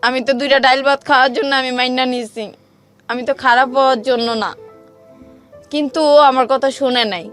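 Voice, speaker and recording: A woman's voice: high-pitched, fairly even intonation, brisk, a bit bright, faint music behind. A woman with a Bangladeshi accent telling her own life story in emotional, colloquial speech, reenacting the exchanges as she goes.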